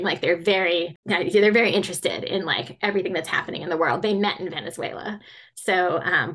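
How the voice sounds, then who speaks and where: A woman's voice: lowish voice, a bit bright, slightly rough. A woman in her forties, a children's fantasy author, answering interview questions in a chatty way with many fillers and frequent laughter. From grew up mostly in Latin America.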